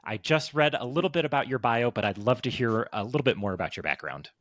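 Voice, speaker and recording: A male podcast host reads his opening with bright, emphatic energy, then moves into friendly interview questions. His mid-pitched voice sits close to the mic, dry and free of room echo. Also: animated, quick pace. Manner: upbeat, emphatic delivery. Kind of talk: scripted intro and interview questions.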